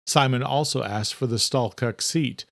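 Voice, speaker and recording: A man giving a steady, scripted narration of a horror audiobook. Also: medium pitch, normal speed, gravelly, no room sound.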